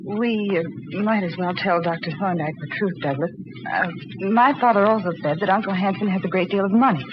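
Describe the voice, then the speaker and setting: A woman's voice: medium pitch, a little fast, natural voice. An actress playing an earnest, anxious young married woman in 1940s radio drama style. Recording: muffled, noisy old radio recording.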